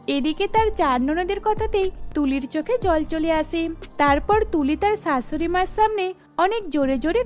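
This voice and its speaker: A woman's voice: very high-pitched, a little mellow. A woman storyteller reading scripted narration for a cartoon, with music underneath.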